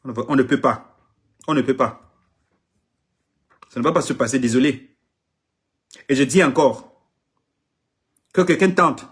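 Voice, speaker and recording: A man's voice: mid-range voice, unhurried, pauses often, fairly bright tone, husky. A middle-aged man from Cameroon, an artist manager whose first language is English, speaking French in an impassioned public address, emphatic and repeating himself, often stumbling over his words.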